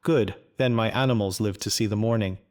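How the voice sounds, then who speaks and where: A man voicing a gruff, weary old farmer with a terse, blunt, dry manner in video game quest dialogue. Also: on the low side, fairly quick, a little mellow, lightly acted. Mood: grudgingly grateful.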